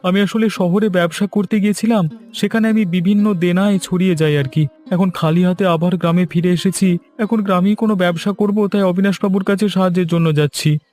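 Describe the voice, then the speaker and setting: A high-pitched, earnest cartoon villager, played by a man. He is naive and easily fooled, a plaintive fellow sunk in debt, with faint music behind him. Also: steady tone, fairly quick, darkish tone, lightly acted. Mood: hesitant, worried. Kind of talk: cartoon fairy-tale dialogue. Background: background music.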